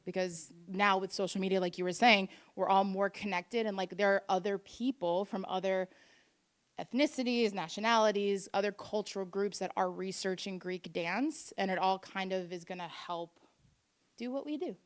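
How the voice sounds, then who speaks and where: A woman's voice: mid-range voice, moderate pace. A woman and dance group director making an eager, chatty comment at a forum microphone, sprinkling her speech with "like". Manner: enthusiastic and chatty, with many "like"s and restarts.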